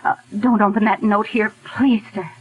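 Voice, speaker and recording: A woman's voice: mid-range voice, fairly even intonation, moderate pace, phone-quality sound, natural voice. An actress playing a worried, indignant woman who defends her friend in a 1940s radio drama. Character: loyal fretful woman, protective of her friend. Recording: hissy 1940s radio broadcast.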